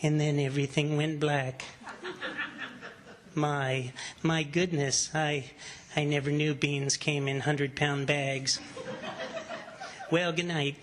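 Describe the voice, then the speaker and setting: A woman's voice: low-pitched, steady tone, slow pace, long pauses, noisy background. Woman as meek henpecked man, prim manner, mild understatement, old-time radio comedy. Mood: meek, wistful.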